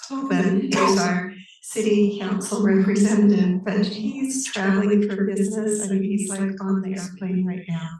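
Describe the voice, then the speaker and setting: A woman with a hoarse, failing voice, haltingly and appreciatively introducing an informal public meeting as its host. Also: mid-range voice, a little mellow. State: hoarse, losing her voice. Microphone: room microphone with ceiling pickup. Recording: echoey room PA, distant, faint noise.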